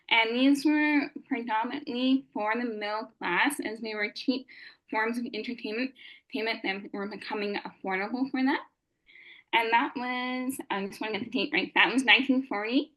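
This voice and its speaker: A woman's voice: a little high, bright, forceful tone, poor audio. Earnest woman giving a lecture. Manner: earnest, with frequent "um" pauses and self-corrections. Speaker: guest speaker at a historical society meeting. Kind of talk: prepared lecture.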